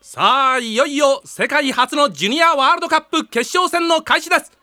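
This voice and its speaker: High-voiced, excitable soccer match announcer. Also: male voice, fairly quick, slight background noise, exaggerated voice. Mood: eager, building. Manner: dramatic, breathless build-up of each play. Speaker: sports commentator. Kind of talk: anime sports commentary.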